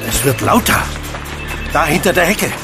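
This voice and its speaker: A man's voice: on the high side, animated, moderate pace, music in the background, close to an everyday voice. An actor playing a brusque, irritable male police detective in an audio drama, with a commanding manner. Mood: urgent, tense. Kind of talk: German radio play acting.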